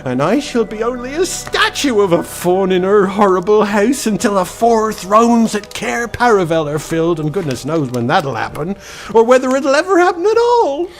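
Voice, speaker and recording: An actor playing a timid, guilt-ridden fawn in a radio drama, faltering and plaintive. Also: male voice, high-pitched. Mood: frightened, wailing. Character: tearful, guilt-ridden male fawn, timid and self-reproaching.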